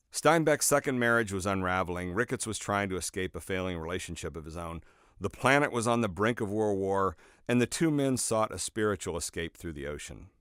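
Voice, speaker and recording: A man reading his own nonfiction book as scripted narration, in a measured, expressive storytelling way with emphatic phrasing. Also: fairly low pitch, dry recording, clean studio sound. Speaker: author reading own audiobook.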